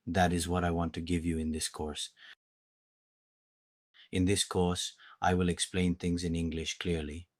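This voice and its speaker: Italian-accented man, patient teacher, slow and clear, low monotone voice. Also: no echo, studio quality. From Italy. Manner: calm, measured, patient teacher delivery. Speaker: language teacher. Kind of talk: slow, clear beginner language lesson.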